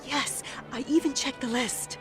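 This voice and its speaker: An actress playing a hesitant, apologetic receptionist at an event in a game dub. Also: female voice, high voice, steady tone, a little slow, music in the background. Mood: flustered. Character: flustered female event receptionist.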